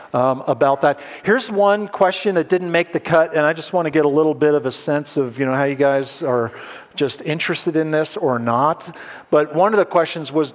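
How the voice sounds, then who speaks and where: A pastor giving a conversational sermon, asking the audience questions and making light jokes. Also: male voice, a little high. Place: large hall with an audience. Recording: long hall reverb.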